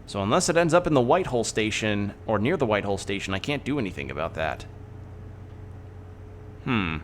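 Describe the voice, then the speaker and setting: Soft-spoken low-voiced man musing aloud. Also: very animated, brisk, slight background noise. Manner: thinking aloud, musing with hums. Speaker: streamer. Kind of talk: casual gameplay commentary.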